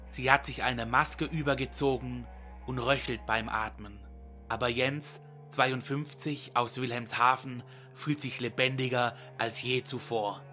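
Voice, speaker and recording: A man's voice: medium pitch, steady tone, a little slow, sharp tone. A man delivering dramatic literary narration in a solemn, mock-epic manner. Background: music.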